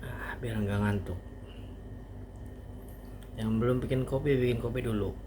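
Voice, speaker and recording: A man giving an informal language lesson as a teacher, explaining haltingly step by step with casual asides. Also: medium pitch, steady tone. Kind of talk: informal online grammar lesson. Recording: faint background noise.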